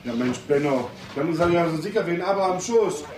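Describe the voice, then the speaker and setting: An actor playing a chatty, good-hearted police colleague, colloquial and animated, in a radio crime drama. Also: male voice, a little high, brisk, roomy sound, a crowd in the background, natural voice. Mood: jovial. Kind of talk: German crime radio play acting.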